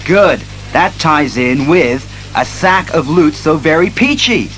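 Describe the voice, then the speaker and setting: An actor playing an earnest, methodical masked detective hero who reasons aloud in a declamatory audio drama style. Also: male voice, fairly high pitch, expressive, a bit bright, faint music behind. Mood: earnest, resolute. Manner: deliberate, declamatory deduction.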